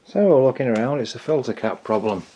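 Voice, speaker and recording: A man's voice: medium pitch, expressive, fairly quick, dark tone, dull audio, a little hiss or hum. A man giving casual hands-on repair commentary, informally thinking aloud in a tentative way as he works. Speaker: hobbyist repairer presenting own video.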